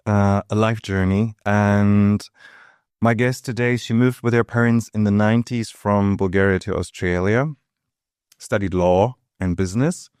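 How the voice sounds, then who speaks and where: Male podcast interviewer, lower register, casual and slightly halting, very dry recording. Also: smooth, no echo. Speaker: podcast host.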